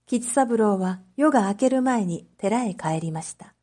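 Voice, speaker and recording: A woman narrating a scripted story as a teacher for language learners, reading carefully in clear phrases. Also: mid-range voice, lively intonation, measured pace, dark tone. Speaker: teacher narrating read-aloud material.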